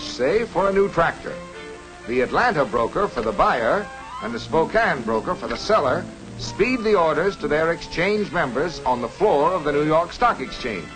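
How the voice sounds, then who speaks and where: Educational cartoon stockbroker: American, higher-pitched, lively delivery, reassuring salesman's patter, 1950s film soundtrack. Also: poor audio. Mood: informative. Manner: instructive, salesmanlike. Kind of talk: 1950s educational cartoon narration, scripted explaining. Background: music.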